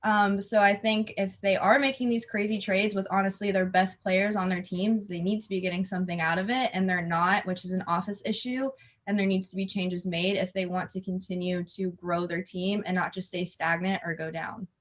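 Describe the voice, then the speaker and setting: A young woman with a mid-range voice speaks as a guest in casual sports talk. She lays out her opinions steadily, often pausing with "um". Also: mid-range voice, steady tone. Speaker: podcast guest.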